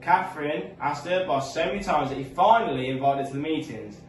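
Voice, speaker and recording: Man, school storytime reader, medium pitch, echoey room, mic at a distance. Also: expressive, fairly quick. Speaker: school staff member reading aloud. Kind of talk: storybook read-aloud.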